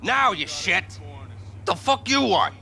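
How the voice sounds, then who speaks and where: An actor playing a hostile, drunken, bullying father in a video game, gravelly and belligerent. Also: extremely high pitch, animated, slow, crowd noise, full character voice. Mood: furious, bullying. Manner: belligerent, swearing, barking orders.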